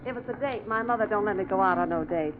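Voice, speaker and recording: A woman's voice: medium pitch, fast talker, lightly acted. An actress playing a brassy, bored young showgirl with offhand, slangy diction in an old-time radio comedy. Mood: bored, aloof. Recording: muffled, noisy vintage radio recording.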